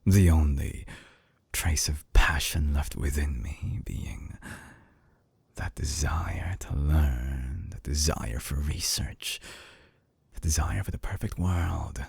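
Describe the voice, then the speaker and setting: A man performs an obsessive scientist in an audio roleplay, with an extremely low, husky, breathy voice. He speaks slowly and deliberately, half whispering right at the ear in a dry, close recording, clinical yet seductive. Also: no room sound, full character voice. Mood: smitten, musing. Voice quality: very deep, gravelly, breathy near-whisper. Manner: slow, hushed, deliberate, with long pauses. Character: obsessive, coolly clinical male mad scientist seducing listener. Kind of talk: intimate binaural audio roleplay. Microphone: close binaural microphone.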